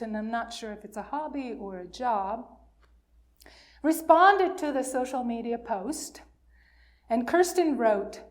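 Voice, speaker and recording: Woman pastor doing a story sermon for all ages, kinda high voice, really slow, asks the congregation questions. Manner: questioning, pausing, inviting listeners to answer. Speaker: minister.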